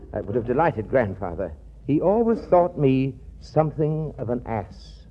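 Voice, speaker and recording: Stuffy, well-mannered millionaire suitor, mid-pitched, naive and earnest, vintage radio comedy, muffled. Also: male voice, big pitch swings, a little slow, slight background noise. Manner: polite, earnest, old-fashioned upper-class phrasing. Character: genial, stuffy, naive rich yacht-owning suitor. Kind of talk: 1940s radio comedy acting. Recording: muffled old 1940s radio recording.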